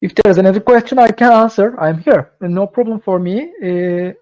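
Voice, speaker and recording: A man with a Turkish accent and a fairly high voice, answering webinar questions in halting English, stopping and restarting his sentences. The sound is dull and lo-fi, like audio from a video call. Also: a little mellow. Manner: halting non-native English with many restarts and fillers. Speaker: webinar speaker. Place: London.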